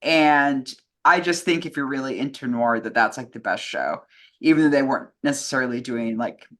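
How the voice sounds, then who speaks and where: A woman's voice: very deep voice, moderate pace, fairly bright tone. A woman in casual film and radio chat, informal and opinionated, rambling with lots of "like". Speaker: co-host answering fan questions.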